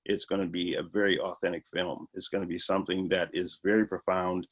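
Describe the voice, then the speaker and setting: A man with a fairly low voice talks about his film project in an interview. He explains eagerly and earnestly, often stopping for "you know" and "uh". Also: little pitch movement, brisk. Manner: earnest, enthusiastic explaining with many fillers. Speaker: filmmaker guest. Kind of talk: interview answers.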